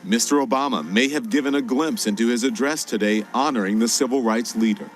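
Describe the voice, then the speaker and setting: A man anchoring a news report, reading in a crisp, emphatic broadcast style. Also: mid-range voice, a little fast, soft background music. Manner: crisp, emphatic newsreader delivery.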